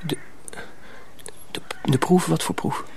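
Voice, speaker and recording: A man playing an earnest, anxious young miller's apprentice in a radio drama, asking questions in a halting way. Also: a little mellow, whispered, natural voice. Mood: anxious questioning. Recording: clearly noisy old radio recording.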